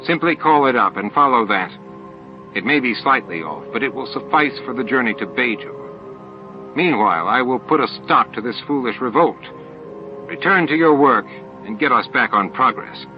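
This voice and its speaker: A man's voice: mid-range voice, expressive, moderate pace, natural voice. A male reader plays a dismissive alien grandmaster in an audiobook drama. He is stern and curt, used to command, heard on dull lo-fi tape with music. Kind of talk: audiobook character acting.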